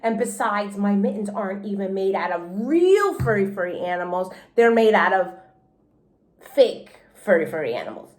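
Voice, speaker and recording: A woman reading a children's storybook aloud as a teacher would, with an expressive, childlike delivery. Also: mid-range voice, moderate pace. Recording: roomy, echoey indoor sound.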